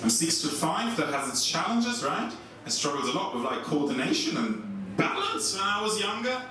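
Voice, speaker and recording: A young Swiss man with a German accent, doing a stand-up set in a comedy club with a dry, deadpan delivery. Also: moderate pace, recorded from a distance. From Switzerland. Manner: dry, deadpan delivery with lively swings in pitch. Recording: echoey club recorded from afar, audience noise.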